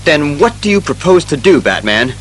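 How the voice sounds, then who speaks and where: An actor playing a formal, puzzled police commissioner with a questioning manner in an audio drama. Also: male voice, fairly high pitch, big pitch swings, normal speed, faint music behind, rough recording.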